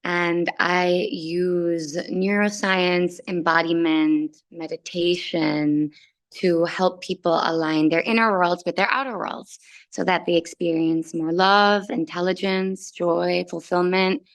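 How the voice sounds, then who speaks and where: Woman from indonesia, coach, lowish voice, chatting through her own testimonial. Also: fairly even intonation, takes it slowly. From Jakarta, Indonesia. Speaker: transformational soul coach. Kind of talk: personal testimonial, conversational.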